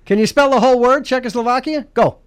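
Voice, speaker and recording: A man's voice: extremely high pitch, fast talker, very noisy. A man hosting a podcast, chatting casually in a rambling, joking way with lots of restarts. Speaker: podcast host. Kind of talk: casual comedic chat.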